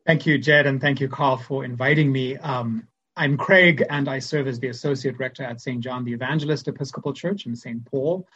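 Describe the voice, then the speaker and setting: Zimbabwean-accented male priest, husky, reflective podcast guest, muffled lo-fi sound. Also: mid-range voice, fairly quick, fairly bright tone. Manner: thoughtful, searching, with frequent ums. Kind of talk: reflective conversational podcast talk.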